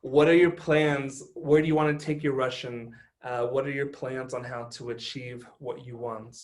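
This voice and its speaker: Man, interview host, casual chat, animated storyteller, lots of "like" fillers, mid pitch. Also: normal speed.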